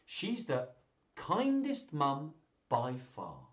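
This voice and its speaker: A man's voice: a little high, huge pitch swings, drawn-out words, heavily acted. A man voicing proud storybook family members in an emphatic, sing-song children's reading. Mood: proud, adoring. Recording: roomy, echoey live stream sound.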